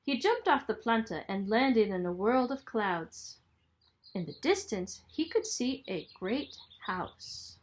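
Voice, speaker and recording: A woman reading a children's story aloud in a dramatic, expressive storytelling manner. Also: on the high side, slow. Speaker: storybook reader, school superintendent.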